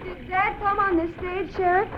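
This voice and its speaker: An actress playing an anxious, pleading young ranch daughter in 1940s radio acting. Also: female voice, very high voice, little pitch movement, moderate pace. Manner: urgent, pleading. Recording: muffled old radio recording with faint hiss.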